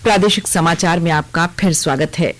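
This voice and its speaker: A woman's voice: fairly low pitch, a little fast. A woman reading a scripted regional radio news bulletin as the newsreader.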